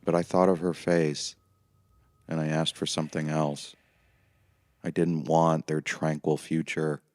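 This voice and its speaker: A man playing a weary, haunted time-traveling prisoner who narrates his memories in a measured, somber, reflective way, as in an audio play. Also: very deep voice, little pitch movement, a little mellow, dry recording. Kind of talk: scripted first-person dramatic narration.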